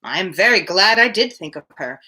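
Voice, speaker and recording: Woman reading as a fretful older gentleman, swooping pitch. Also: expressive, brisk, slightly rough. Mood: approving. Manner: plaintive, fussy, worrying. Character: nervous, fretful, gently selfish old widowed English father. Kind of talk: storybook reading of dialogue.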